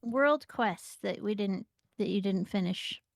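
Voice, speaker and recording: A woman's voice: medium pitch, measured pace, vocal fry, dry recording, clean studio sound. A woman chatting casually while playing an online game with a friend, giving short, easygoing replies and prompts. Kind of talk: casual gaming chat.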